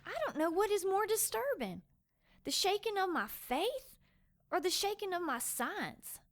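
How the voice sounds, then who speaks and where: An actress playing a skeptical, unsettled woman companion to an investigator, speaking deliberately in an audio drama. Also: very high voice, very animated, exaggerated voice. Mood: troubled.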